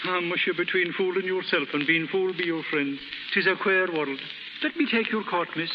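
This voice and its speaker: An actor with an Irish accent playing a kindly, folksy, deferential manservant in a 1930s radio drama. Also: male voice, sits high, moderate pace, lightly acted. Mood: sympathetic. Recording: noisy 1930s radio broadcast, dull, reverberant.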